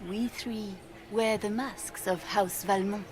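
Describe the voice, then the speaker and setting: A woman's voice: medium pitch, fairly even intonation, takes it slowly, close to an everyday voice. An actress playing a formal, ingratiating female court messenger in a video game cutscene.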